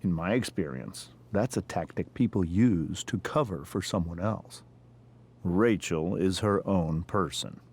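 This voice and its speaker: An actor playing a pompous middle-aged American district attorney and father, speaking in measured, formal sentences in a video game drama. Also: deep voice, a little mellow, husky, exaggerated voice. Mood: probing, guarded.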